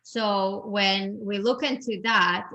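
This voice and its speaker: A woman's voice: medium pitch, steady tone, takes it slowly, bright, forceful tone. A woman from Europe gives advice as a guest expert in a panel talk. Her voice is strong, and she restarts often and repeats words as she goes. The sound is dull and lo-fi, like an online call.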